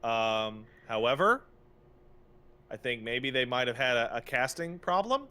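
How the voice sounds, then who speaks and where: A man's voice: mid-range voice, big pitch swings, measured pace, bright, forceful tone, smooth. A man with a mid-range voice talks as a podcast co-host. His delivery is casual and opinionated, and he leans on words emphatically to make his point.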